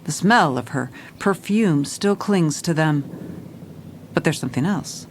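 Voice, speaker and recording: A woman's voice: deep voice, expressive, a little slow, slight background noise. An actress playing a determined, world-weary female police commissioner, narrating a noir audio drama in a hard-boiled, dramatic manner. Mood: weary, brooding.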